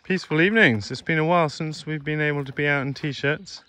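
A man giving a relaxed, chatty tour of his farm, filmed on a handheld phone outdoors and in sheds. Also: fairly high pitch, a little fast. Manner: relaxed, gentle, chatty with a child. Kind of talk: casual walking farm tour vlog. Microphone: handheld phone camera.

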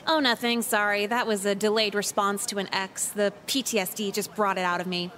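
An actress voicing a jaded, manipulative teenage schoolgirl in a visual novel with deadpan sarcasm. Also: medium pitch, fairly quick, lightly acted. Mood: irritated.

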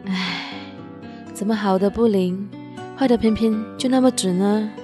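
A woman's voice: mid-range voice, measured pace, music in the background, close to an everyday voice. A woman acting a heartbroken, stubbornly loyal young woman in a radio drama. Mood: wistful. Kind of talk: radio drama narration and dialogue.

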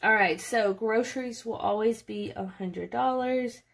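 A woman's voice: on the high side, a little slow, vocal fry. A woman in her late twenties talking casually through a budgeting vlog, chatty and thinking aloud as she goes. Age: late 20s. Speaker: budgeting vlogger. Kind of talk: casual narrated budget walkthrough.

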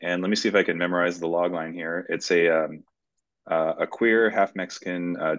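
Really low-voiced guy talking in an interview, stop-start, kinda dull video call mic. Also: a bit bright, no room sound. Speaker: screenwriter, interview guest. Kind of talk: conversational interview answers. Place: indoor room, video call. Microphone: video call microphone.

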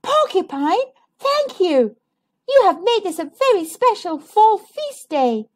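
A woman voices a gentle female deer from a storybook. The voice is high, sweet and earnest, with lilting, lively intonation. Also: slow pace, full character voice. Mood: grateful.

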